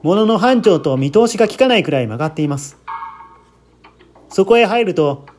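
A man's voice: sits high, sing-song, normal speed, slight background noise. A man reading the part of an earnest, anxious man who politely recounts a friend's death in a dramatic radio-story style. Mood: earnest, explaining.

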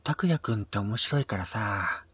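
A man voicing a friendly young married mother in a radio story, chatty and cheerful, with a husky voice. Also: on the low side, animated, moderate pace, fairly bright tone, no echo, exaggerated voice. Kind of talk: radio drama story acting.

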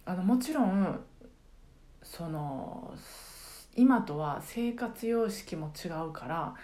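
A middle-aged woman chatting casually on a solo podcast, reflective and halting as she searches for words. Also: on the low side, lively intonation, warm tone. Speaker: podcast host and karaoke teacher.

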